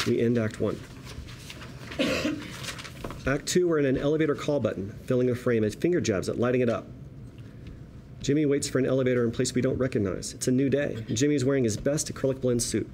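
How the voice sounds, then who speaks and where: Mellow man narrating a screenplay. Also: mid-range voice, slight background noise. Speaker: narrator reading screenplay directions. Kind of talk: scripted narration at a table read.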